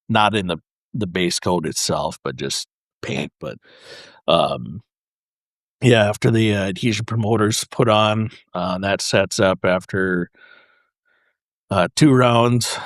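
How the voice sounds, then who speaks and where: A man speaking as a podcast guest, explaining his craft casually in halting, piecemeal phrases with frequent pauses. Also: low voice, dry recording, studio quality. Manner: halting, pausing, piecemeal delivery. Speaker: podcast guest, custom paint artist.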